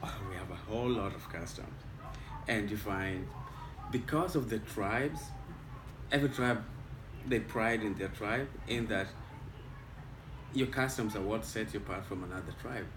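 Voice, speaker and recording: A man's voice: fairly low pitch, moderate pace, long pauses. A man from Kenya with a Kenyan accent, whose first language is Luo, patiently answering interview questions about his home country. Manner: patient, explaining step by step. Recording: echoey room, mic at a distance, noisy.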